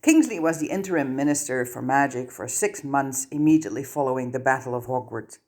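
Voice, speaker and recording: A woman's voice: rumbling low, expressive, brisk, a little mellow. A woman narrating fan fiction as an audiobook, reading scripted prose.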